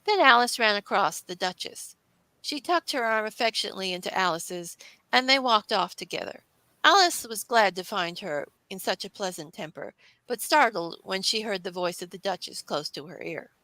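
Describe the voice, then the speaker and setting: A woman reads a classic story aloud as narrator. Her voice is bright and forward at a middling pitch, recorded close and dry. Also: animated, normal speed, dry recording, low-quality recording.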